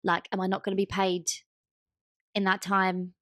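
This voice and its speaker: A woman's voice: medium pitch, completely flat delivery, moderate pace, fairly bright tone, no room sound, studio quality. A young woman co-hosting a podcast, talking candidly and informally with some emphasis. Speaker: podcast co-host. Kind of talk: casual chat.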